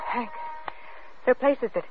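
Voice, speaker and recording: An actress playing a frightened, pleading young pregnant wife in a melodramatic old radio drama. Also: female voice, unhurried, lightly acted. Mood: anxious, pleading. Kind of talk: 1940s-50s radio drama acting. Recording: noisy, muffled vintage radio recording.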